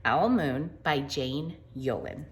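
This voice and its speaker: An American woman reading a storybook aloud to children in an informal, chatty and expressive way. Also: extremely low pitch, slow. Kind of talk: storybook read-aloud with casual asides to children.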